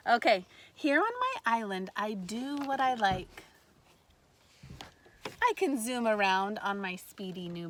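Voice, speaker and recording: A woman teacher reading a storybook aloud to kindergartners in an animated way, pausing to put questions to her listeners. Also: mid-range voice. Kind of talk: read-aloud lesson for young children. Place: outdoors in a backyard tree fort among trees. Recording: faint outdoor ambient noise.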